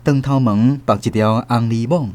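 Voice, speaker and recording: A man's voice: mid-range voice, fairly even intonation, normal speed, darkish tone, lightly acted. An actor playing an elderly grandfather with a Taiwanese accent, narrating a radio drama in a tender, wistful, literary way. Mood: tender, reflective. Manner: literary, emotive storytelling.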